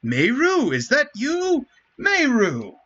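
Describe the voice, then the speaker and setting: A man's voice: very high voice, wildly swooping pitch, slow pace, fairly bright tone, pitched above the performer's own voice, exaggerated voice. A man voicing an earnest, pleading young male forest dweller from a fantasy game, on dull stream audio. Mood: surprised, hurt. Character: Guaraha, earnest young male Wingly, emotional. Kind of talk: dramatic read-aloud of game dialogue.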